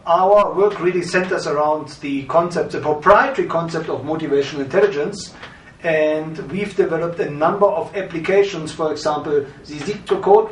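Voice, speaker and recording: A middle-aged man from Germany with a German accent, presenting a course introduction in a measured, explanatory way. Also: a little high, slight background noise. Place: studio with green-screen backdrop. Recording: roomy, from a distance.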